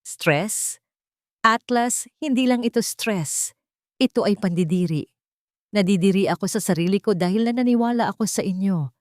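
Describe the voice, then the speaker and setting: A woman's voice: medium pitch, moderate pace, long pauses, dry recording, studio quality, natural voice. A woman playing a bitter, resolute young mother-to-be in an emotional audio drama. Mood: bitter, disgusted.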